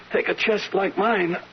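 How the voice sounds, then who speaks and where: A sickly, talkative old fellow in an old radio play. He grumbles in a high voice with folksy, halting phrasing. The recording is muffled, with light hiss. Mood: grumbling, teasing. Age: older. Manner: halting, folksy, chuckling complaint. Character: chatty, grumbling old male hospital patient with pneumonia. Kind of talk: old radio drama acting.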